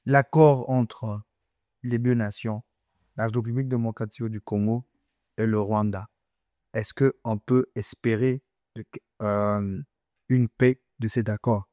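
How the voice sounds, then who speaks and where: A man interviewing a guest, asking measured, formal questions. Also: fairly low pitch, steady tone, warm tone, no echo, studio quality.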